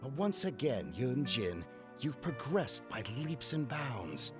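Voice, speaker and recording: A wealthy, flattering merchant in a game cutscene. He talks slowly with swooping intonation, smooth and ingratiating, over faint music. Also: medium pitch. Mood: admiring. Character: suave, flattering male wealthy merchant and opera patron. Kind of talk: video game voice acting.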